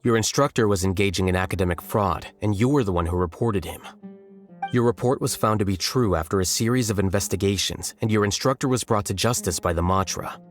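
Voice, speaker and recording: A man voicing a calm, analytical young scholar in a video game, speaking in a measured, matter-of-fact way. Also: on the low side, faint music behind, natural voice. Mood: composed, matter-of-fact.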